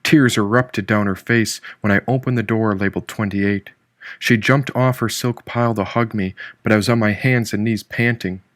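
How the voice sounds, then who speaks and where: A man's voice: on the low side, fairly even intonation, moderate pace, no room sound, studio quality. A man reading a first-person novel aloud as a scripted audiobook narrator. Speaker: audiobook narrator, author reading own book.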